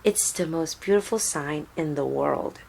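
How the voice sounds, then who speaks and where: A woman reading the part of a loving, proud activist mother in a storybook, gentle and earnest. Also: sits low, unhurried, creaky, a little hiss or hum, poor audio. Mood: tender.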